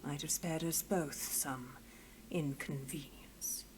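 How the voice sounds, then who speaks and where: Slow, proud female marshal. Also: sits low, a little mellow, slight background noise. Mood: hurt, cold. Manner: deliberate, pointed, formal. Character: proud female marshal, wounded, coolly formal guild loyalist.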